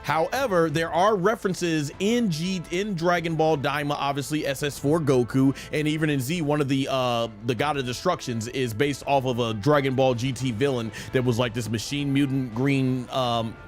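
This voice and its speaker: A man's voice: mid-range voice, fairly even intonation, normal speed, hardly any pauses, faint music behind. A man in his thirties hosting a gaming livestream, chatting casually and explaining his opinions at length. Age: 30s. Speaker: streamer and host of a live gaming show. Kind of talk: casual livestream chat answering viewer questions.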